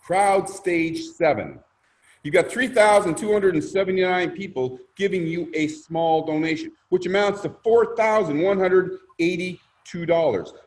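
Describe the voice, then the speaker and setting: High-pitched man giving an emphatic sales pitch. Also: a little slow. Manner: emphatic, theatrical, with rhetorical questions and repetition. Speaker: presenter pitching a crowdfunding scheme. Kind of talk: motivational sales presentation.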